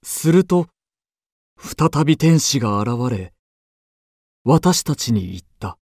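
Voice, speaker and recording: An actor playing an earnest poet-pilgrim who recounts his journey deliberately as first-person radio drama narration. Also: medium pitch, big pitch swings, darkish tone, husky, no room sound, heavily acted. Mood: earnest narrating.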